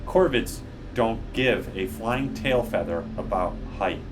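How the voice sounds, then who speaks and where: A man's voice: lowish voice, expressive, slow, noisy background. A man voicing a proud, cranky female crow in a storybook, blunt, teasing and full of wisecracks. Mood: sassy, teasing. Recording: music behind the voice.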